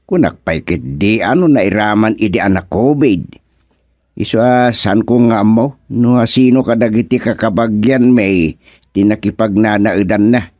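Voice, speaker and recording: A man's voice: on the low side, a little slow, a little mellow, no room sound, clean studio sound. A man playing a matter-of-fact village acquaintance in an Ilocano radio comedy, chatting in a folksy way. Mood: musing.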